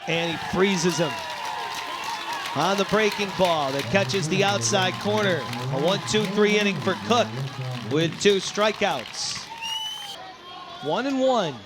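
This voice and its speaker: A man doing live baseball play-by-play commentary as the announcer, who gets excitable and shouts on big plays. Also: high voice, background noise. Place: sports broadcast at a baseball game.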